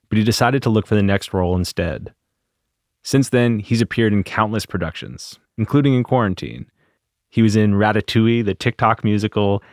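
Man, American accent, podcast host, scripted narration, lowish pitch, dry close studio sound. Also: no room sound. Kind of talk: scripted narration, interview introduction. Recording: dry studio, faint music behind.

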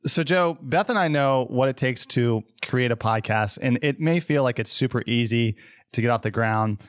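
A man's voice: medium pitch, moderate pace, no room sound, clean studio sound. A man co-hosting a podcast, asking a guest questions in a friendly, joking way. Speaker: podcast co-host. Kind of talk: interview questions.

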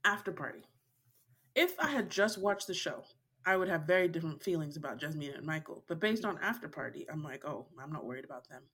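Animated woman co-hosting a chat podcast. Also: deep voice, fast talker, husky. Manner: animated, laughs often, plugs Patreon. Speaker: podcast co-host. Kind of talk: casual chat.

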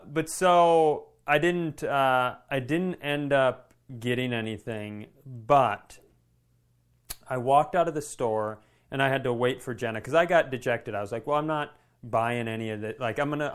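A man co-hosting a comedy podcast, telling anecdotes casually with animated, self-deprecating delivery and some swearing. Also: mid-range voice, moderate pace. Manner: animated storytelling with profanity.

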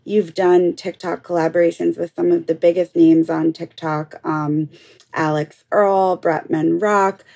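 Gravelly low-voiced woman interviewer. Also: level tone, measured pace, warm tone. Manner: long winding questions with fillers. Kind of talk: podcast interview questions.

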